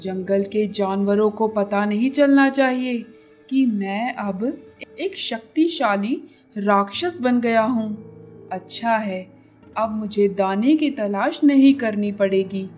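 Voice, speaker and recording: Cartoon crow-demon, played by a woman: slow, heavy, lowered voice for a hungry, scheming male brute, music underneath. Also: darkish tone, dull audio, natural voice. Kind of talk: cartoon moral story character acting.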